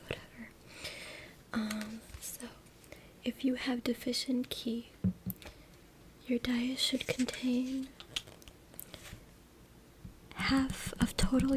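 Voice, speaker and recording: Woman whispering slowly over loud noisy clicks. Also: fairly high pitch. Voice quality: breathy unvoiced whisper. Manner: reading aloud with brief asides. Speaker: ASMR creator. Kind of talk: whispered ASMR reading. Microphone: close USB microphone. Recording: loud background noise, tapping and clicking. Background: tapping, scratching and clicks.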